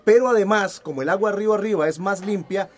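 An agitated, distrustful village man played by an actor in a radio drama. His voice is notably high for a man, and he speaks with skeptical emphasis. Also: fast talker, close to an everyday voice. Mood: suspicious. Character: suspicious, agitated male villager.

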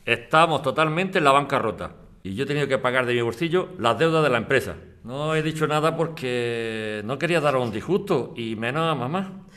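A man's voice: mid-range voice, lively intonation, brisk, a bit bright, lightly acted. A man playing a worried, conciliatory husband and son in a radio drama, speaking earnestly and explaining himself. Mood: apologetic, strained.

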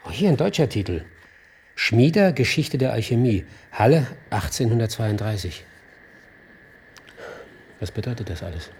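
A man's voice: mid-range voice, expressive, normal speed, long pauses, a little mellow, a little hiss or hum, lightly acted. An actor playing a curious, wry male investigator in a radio play. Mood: excited discovery. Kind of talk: German radio play acting.

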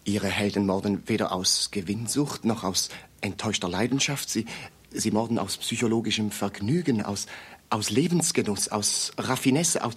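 Earnest amateur detective, low husky voice, reverential, long-winded, stumbling over ornate phrases, radio drama. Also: male voice. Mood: admiring, intense. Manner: halting, repeats words, ornate and reverential phrasing. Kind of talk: German radio play acting.

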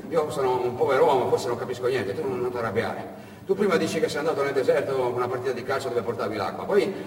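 A man on stage playing a poor, wary old man, humble, halting and doubting, in an echoey recording. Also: medium pitch, a little mellow, lightly acted. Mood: humble, doubtful. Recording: echoey, noisy hall, far from mic.

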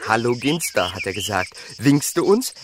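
Radio play son, played by a man: earnest, excited adventurer, emphatic and melodic, chirping insects around. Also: mid-range voice, normal speed, a little hiss or hum, lightly acted. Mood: vivid recounting. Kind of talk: German radio play acting.

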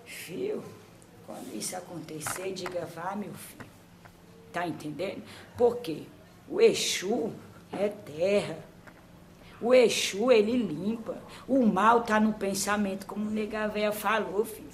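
A woman's voice: medium pitch, lightly acted. Old grandmother spirit, patient counselor, trailing phrases, mid voice, faint hiss, as a woman channels her. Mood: counseling. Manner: slow, repeats "my son", trailing off softly. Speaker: spirit medium.

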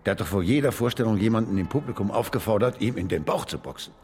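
An actor playing a proud, stubborn older gentleman author in a German radio drama, with dignified, defensive indignation. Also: fairly low pitch, expressive, fast, soft background music, close to an everyday voice. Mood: smug.

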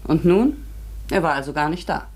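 Low-voiced, reflective woman narrator. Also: expressive, darkish tone, husky. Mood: puzzled. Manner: measured, earnest, wondering. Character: reflective female schoolteacher recounting a mysterious encounter. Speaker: narrator and lead actress. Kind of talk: literary German radio drama acting.

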